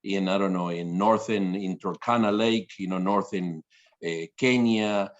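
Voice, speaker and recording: A man's voice: low voice, fairly even intonation, unhurried, lo-fi sound. A man speaking as a panelist on a video call, phrasing loosely and searching for his words. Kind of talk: casual panel discussion.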